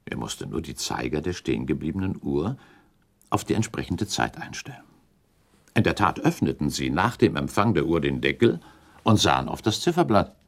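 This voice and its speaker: Deep, creaky-voiced relentless accuser. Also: male voice, sing-song, normal speed, heavily acted. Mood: methodical, accusing. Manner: formal, precise, accusatory, argumentative. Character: shrewd male accuser laying out a murder theory, methodical, relentless. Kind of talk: German radio play acting, period dialogue.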